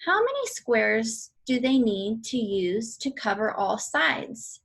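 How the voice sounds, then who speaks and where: A woman reading aloud an educational book for children in a deliberate, instructional way. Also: fairly high pitch, sing-song, vocal fry, a bit bright, husky. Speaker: read-aloud reader for children.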